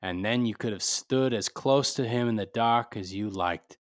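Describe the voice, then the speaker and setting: A man's voice: on the low side, normal speed, close to an everyday voice. Man playing a blunt, hard-boiled detective. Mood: accusing. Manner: blunt, accusing, clipped tough talk. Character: hard-boiled, cynical male private detective. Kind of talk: 1940s radio drama acting.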